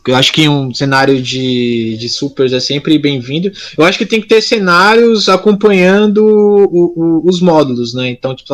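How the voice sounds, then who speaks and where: A man co-hosting a casual live podcast, talking informally and often interrupting himself mid-thought. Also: a little high. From São Paulo, Brazil. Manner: informal, rambling, self-interrupting. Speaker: podcast co-host. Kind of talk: casual live chat, answering listeners.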